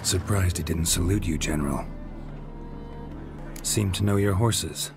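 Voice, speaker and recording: Deep gravelly american monster hunter, terse, deadpan, game style, music behind him. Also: male voice, unhurried. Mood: dry, reserved. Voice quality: low gravelly murmur. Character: stoic male monster hunter, weary, dryly sardonic. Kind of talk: video game voice acting.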